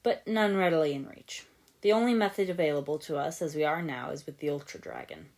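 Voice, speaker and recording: A woman voicing a calm, cryptic old male martial arts master with a measured, formal manner in an audiobook. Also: low-pitched. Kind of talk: fan fiction audiobook character voice.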